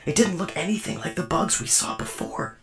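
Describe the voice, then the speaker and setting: A man's voice: fairly low pitch, animated, brisk, music in the background, natural voice. A man voicing an earnest, puzzled, naive robot companion in a radio drama.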